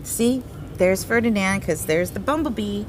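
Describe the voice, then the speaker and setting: A woman's voice: mid-range voice, moderate pace, noisy background. A woman storyteller reading a picture book aloud to young children, expressively, with simple explanatory asides and exclamations.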